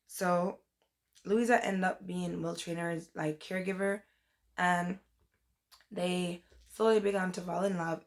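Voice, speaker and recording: Young woman, hoarse from a cold, gravelly low-ish voice, rambling casual book vlogger. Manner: chatty, rambling, says "like" and "um" often. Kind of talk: casual book review vlog.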